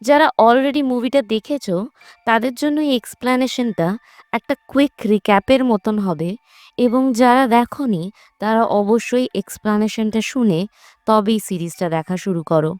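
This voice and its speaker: High-voiced woman narrating a story. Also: normal speed, a little mellow, dry recording. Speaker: narrator of a movie recap channel. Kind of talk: scripted storytelling narration of a horror film recap. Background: background music.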